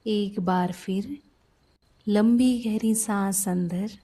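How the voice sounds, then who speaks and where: Woman, meditation guide, extremely slow pace, dark mellow tone, medium pitch, gentle, soothing, short phrases with pauses. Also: steady tone, husky. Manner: soothing, unhurried, pausing between short phrases. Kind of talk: guided sleep meditation narration.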